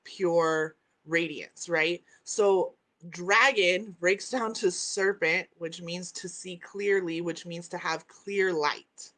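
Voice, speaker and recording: A woman's voice: lowish voice, fairly even intonation, slow pace, fairly bright tone. A woman hosting a spiritual live stream from home, teaching with emphatic repeated phrases and a habit of asking "right?". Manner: reads out and answers viewer comments. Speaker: host. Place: at home by a window, with dogs in the house.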